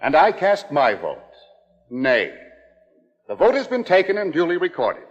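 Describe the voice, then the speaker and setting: Earnest husband, animated higher voice. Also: male voice, measured pace, long reverb tail. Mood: solemn, procedural. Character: earnest, fair-minded husband presiding over his wife's fate. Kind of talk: 1950s radio suspense acting. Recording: dull muffled 1950s radio recording.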